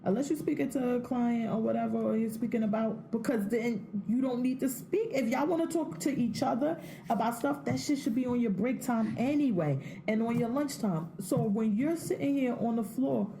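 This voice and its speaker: A woman's voice: a little high, moderate pace, few pauses, dark tone, slight background noise, poor audio. A woman co-hosting a podcast, chatting casually in a blunt, profane way and laughing often. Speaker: podcast co-host. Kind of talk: casual opinionated chat.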